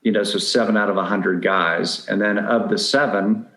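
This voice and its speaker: A middle-aged man with a fairly low voice, heard with clear room echo. He talks casually as a podcast guest, explaining things in a conversational way. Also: fairly even intonation, moderate pace, pushed and bright. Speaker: podcast guest, dating advice blogger. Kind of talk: casual interview chat. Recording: roomy, echoing sound.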